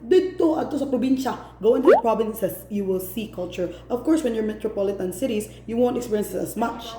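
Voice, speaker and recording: A woman's voice: a little high, fast, echoey room, very noisy. A woman from Cebu City, venting straight to camera in a vlog, emphatic and fed up, hammering each point home. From Philippines, Cebu City. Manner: emphatic and exasperated, repeating points for stress. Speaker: vlogger. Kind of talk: direct-to-camera opinion rant.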